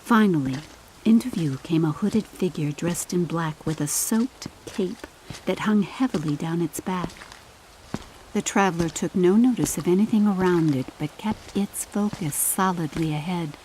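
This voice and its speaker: A woman's voice: lowish voice, moderate pace, dark tone, slight background noise, rough recording. A woman reading a scripted, dramatic story as an audiobook narrator.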